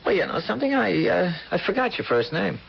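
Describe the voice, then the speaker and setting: An actor playing a streetwise, guarded convict in a 1970s radio drama, hesitant and stammering in plain slangy speech. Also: male voice, high-pitched, big pitch swings, fairly quick, vocal fry, breathy edge, full character voice. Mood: teasing, curious. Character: streetwise male convict, guarded, bitter, pleading for a chance. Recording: muffled old radio broadcast with hiss.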